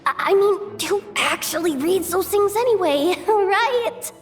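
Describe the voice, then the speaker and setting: A woman's voice: very high voice, expressive, measured pace, soft background music. A woman playing a flustered, whiny young messenger in a video game, hesitant and self-pitying. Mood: nervous.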